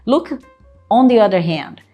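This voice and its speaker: A woman's voice: medium pitch, expressive, measured pace, lo-fi sound, soft background music. A woman with a Brazilian Portuguese accent, whose native language is Portuguese, coaching job-seekers in an earnest, emphatic way and often checking in with "right?". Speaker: interview coach. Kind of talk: instructional video talk.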